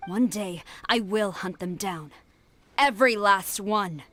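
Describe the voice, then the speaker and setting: A woman's voice: mid-range voice, fairly bright tone, natural voice. A woman voicing a stern, honour-bound military commander in a video game, formal, commanding and clipped. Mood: grim resolve.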